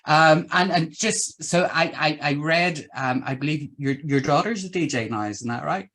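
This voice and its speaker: A man's voice: a little high, normal speed, a bit bright. A middle-aged man with a Northern Irish accent hosting a casual interview, effusive and reflective in the way he talks. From Northern Ireland. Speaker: interviewer, host.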